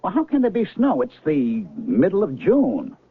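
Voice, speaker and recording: Flustered, indignant male traveler, swooping pitch. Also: wildly swooping pitch, normal speed, slightly rough, heavily acted. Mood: incredulous. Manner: exasperated, insistent, sputtering. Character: flustered, indignant male airline passenger, desperate and querulous. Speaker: radio drama actor. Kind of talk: 1970s radio drama acting. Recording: muffled, phone-like old radio broadcast.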